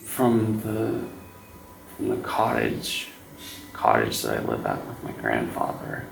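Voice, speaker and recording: A man acts out an injured, frightened young woman for a tabletop horror game. She speaks in hushed, halting phrases and repeats herself, drained and dazed. The sound is roomy and a little distant from the mic. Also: lowish voice, sing-song, gravelly, soft background music, full character voice. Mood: weak, dazed. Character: frightened exhausted injured young woman, muttering about a light.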